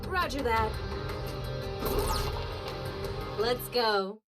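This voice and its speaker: A woman playing a calm, reassuring female android squad veteran in a video game dub, composed and gently coaxing with a playful streak. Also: fairly high pitch, unhurried. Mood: businesslike. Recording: music behind the voice.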